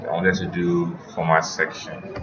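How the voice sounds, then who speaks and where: A man giving an informal, step-by-step software tutorial as an instructor, repeating phrases as he explains. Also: sits low, completely flat delivery, a little slow. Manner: repetitive step-by-step explaining, checking understanding. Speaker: web development instructor. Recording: roomy, dull audio with music behind.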